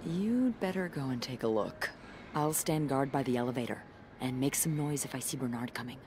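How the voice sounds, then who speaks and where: An actress playing a bold, wry, mischievous hotel employee in a narrative game. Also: female voice, rumbling low, lively intonation, a little fast, noisy background. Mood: playful, conspiratorial. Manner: wry, brazen, teasing.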